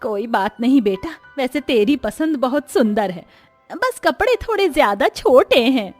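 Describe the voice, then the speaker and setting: A woman's voice: high voice, big pitch swings, measured pace, soft background music, exaggerated voice. A woman voicing a fretful, affectionate middle-aged village mother-in-law in a Hindi cartoon story, theatrical and exclaiming. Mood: delighted.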